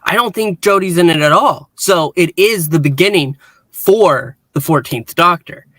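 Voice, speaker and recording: A man co-hosting a casual fan discussion, talking earnestly and haltingly, often repeating words as he thinks. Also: on the high side, fairly bright tone, no echo. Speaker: podcast co-host.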